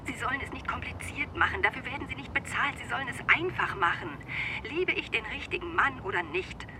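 An actress playing a demanding, jealous client in a radio crime drama, insistent and dramatic. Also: female voice, sits high, lively intonation, brisk. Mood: impatient, demanding. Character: demanding, jealous, imperious female client of a detective. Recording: clearly noisy background.

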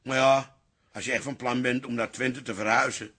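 An actor playing a teasing, grumbling older father-in-law in a radio drama, blunt and husky. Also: medium pitch, little pitch movement, moderate pace. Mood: gruff, needling. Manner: blunt, sardonic, loud.

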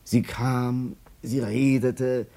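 An actor playing a deferential, colloquial storyteller who recounts events anecdotally in a German radio drama. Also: male voice, medium pitch, flat delivery, very slow, gravelly, dark tone. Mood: recounting. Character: deferential storyteller recounting an old court affair.

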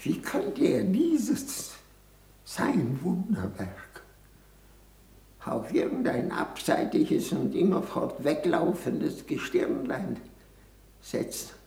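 Creaky, declamatory old cardinal. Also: male voice, fairly high pitch, wildly swooping pitch, mellow, full character voice. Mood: outraged, scornful. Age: older. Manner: declamatory, halting, pompous. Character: very old, indignant, grandiose cardinal, half-blind. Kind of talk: 1960s German radio play acting. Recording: roomy echoing 1960s radio studio.

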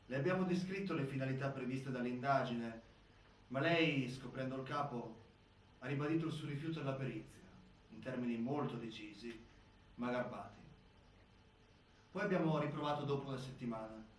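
Actor as male expert examiner, measured testimony, distant, strong echo. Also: medium pitch, close to an everyday voice. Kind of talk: documentary theatre testimony. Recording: very echoey hall, distant, faint noise.

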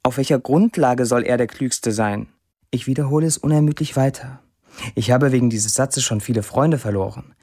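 Actor doing a young syrian guy, sardonic and deadpan, disillusioned radio drama monologue, medium pitched voice. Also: moderate pace, natural voice. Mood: stubborn. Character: young Syrian man, sardonic, disillusioned social media diarist.

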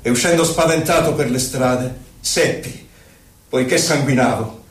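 A man's voice: medium pitch, close to an everyday voice. Man acting a theatrical poet in echoing space. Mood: somber, haunted. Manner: theatrical, declamatory, measured phrasing. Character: a poet recalling his life and travels, impassioned and solemn. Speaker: actor in a poetic theatre piece for radio. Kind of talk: dramatic poetry recital. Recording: roomy echo, distant mic, violin music. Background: violin music.

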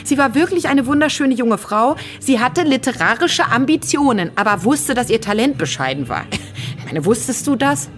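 An actress as an animated, insistent woman. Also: on the high side, moderate pace, faint music behind, close to an everyday voice. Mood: curious, probing. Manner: pressing, teasing, switching into English quotations. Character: insistent woman hunting a writer's lost typewriter. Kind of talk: naturalistic German radio drama acting.

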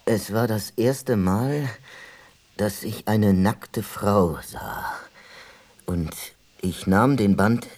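An actor playing a reflective man who recalls his childhood in a halting, musing way in a radio play. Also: lowish voice, a little mellow. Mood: hushed, hesitant. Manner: halting, musing, self-correcting. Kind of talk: radio play monologue, reminiscing.

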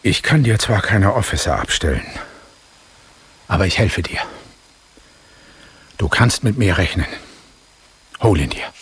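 An actor playing a loyal senior police officer in a German radio drama, with a rough, husky voice. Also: male voice, deep voice, animated, unhurried, long pauses, a bit bright, exaggerated voice. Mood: supportive. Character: loyal male senior police officer, cautioning yet supportive.

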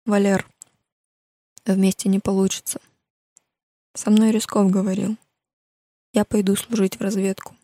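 A woman's voice: mid-range voice, flat delivery, unhurried, long pauses, warm tone, no echo. A woman voicing a reserved, sombre teenage girl in an audiobook, terse and guarded. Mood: guarded, confessing.

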